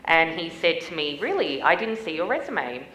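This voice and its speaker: A woman's voice: sits low, very animated, normal speed, sharp tone, soft background music. A middle-aged Australian woman speaking as a guest on stage, chatty and upbeat with many small fillers. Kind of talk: stage interview. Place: stage in a large hall. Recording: echoey hall with faint noise.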